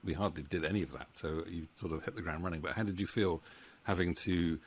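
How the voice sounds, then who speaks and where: A middle-aged man interviewing guests in a casual, halting, rambling way into a close microphone. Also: sits low, a little hiss or hum. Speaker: interviewer, writer-producer. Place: indoor home room with bookshelves. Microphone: studio microphone on boom arm, close.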